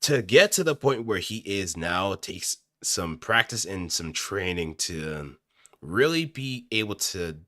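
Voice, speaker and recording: Man, low-pitched, forceful bright tone, casual rambling delivery, thinking aloud, close studio mic. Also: no echo. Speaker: aspiring amateur voice actor. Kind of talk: casual rambling chat to viewers. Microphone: close studio microphone, dry.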